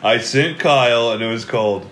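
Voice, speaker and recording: A man's voice: mid-range voice, normal speed, over loud music. A man chatting casually with friends on a podcast, blunt and profane. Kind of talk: casual chat among friends.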